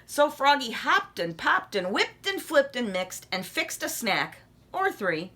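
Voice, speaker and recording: A woman reading a children's story aloud as an expressive narrator. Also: high-pitched, a little slow. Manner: animated, addresses young listeners with questions.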